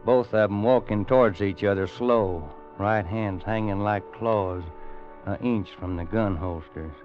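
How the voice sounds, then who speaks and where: An actor playing a weathered, plain-spoken old cowboy rancher with an American Western drawl and folksy rustic grammar in a radio western. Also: male voice, on the low side, measured pace, lightly acted. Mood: tense, ominous. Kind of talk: 1950s radio western acting and narration. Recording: muffled old radio recording with music.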